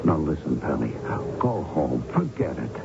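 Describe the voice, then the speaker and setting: An actor playing a brash, ruthless gangster boss in a radio drama, with bossy, wheedling, slangy tough talk. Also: male voice, very deep voice, very animated, moderate pace, gravelly, music in the background, full character voice. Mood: callous, coaxing. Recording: dull, noisy vintage radio broadcast.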